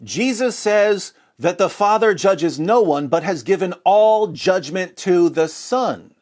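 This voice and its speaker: Animated man delivering dramatic religious commentary. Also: high voice, slow. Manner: dramatic, rhetorical, sarcastic mock alarm. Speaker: apologetics presenter. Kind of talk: scripted religious commentary with scripture reading.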